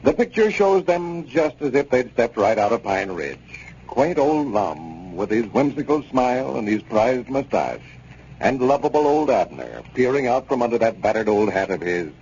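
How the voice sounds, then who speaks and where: A male American announcer from 1930s radio, with a very animated, mid-pitched delivery. He reads a scripted sales pitch in a chatty, polished way. The sound is narrow, like an old broadcast. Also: moderate pace. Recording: noisy, band-limited 1930s radio broadcast.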